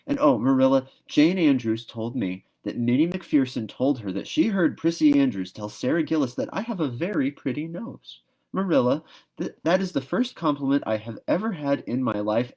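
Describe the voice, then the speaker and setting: A man's voice: medium pitch, mellow, voice pushed up. A man voicing a chatty, dreamy, dramatic young orphan schoolgirl from a storybook, in an earnest, gossipy ramble. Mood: thrilled, earnest.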